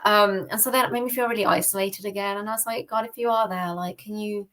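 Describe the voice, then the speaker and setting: A British woman speaks at a middle pitch as a podcast guest. She tells her own story conversationally, in an informal way with "like" sprinkled through it. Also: steady tone, fast, fairly bright tone. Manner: informal, peppers speech with "like". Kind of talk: conversational personal testimony.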